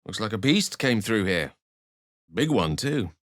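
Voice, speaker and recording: A man's voice: fairly low pitch, very animated, measured pace. A man voicing a learned, wry and romantic wizard in a video game, speaking in an articulate, theatrical way. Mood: wry, musing. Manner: theatrical, articulate, literary phrasing. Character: learned human male wizard, eloquent, wry, romantic and dramatic. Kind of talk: video game character voice acting.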